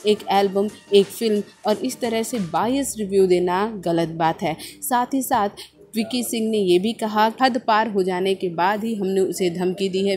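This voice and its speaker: Woman doing gossip news voiceover, explains and repeats stuff for emphasis, medium pitch, bit of music behind. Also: darkish tone. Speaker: entertainment news presenter. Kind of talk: scripted gossip news narration. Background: background music.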